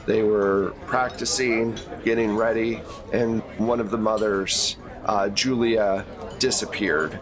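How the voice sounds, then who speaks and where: A man's voice: on the low side, steady tone, takes it slowly, over music. A man speaking as game master and host of a tabletop game, narrating and explaining off the cuff in a casual way.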